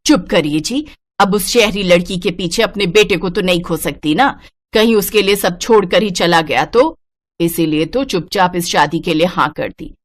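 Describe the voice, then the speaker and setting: A woman's voice: fairly low pitch, normal speed, no echo, lightly acted. A woman voicing a scolding, old-fashioned mother-in-law in a family cartoon, nagging and disapproving. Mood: grudging. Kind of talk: cartoon story voice acting.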